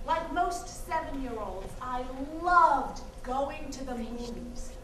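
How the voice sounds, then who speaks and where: A high-pitched American woman delivers a wry, wistful monologue about her own life. She takes her time, with deliberate theatrical pauses. The recording is distant and roomy, with clear background noise. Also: animated, fairly bright tone, exaggerated voice. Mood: nostalgic. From United States. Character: Korean American adoptee woman recounting childhood, wry and wistful. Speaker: stage actress. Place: live stage performance in a venue.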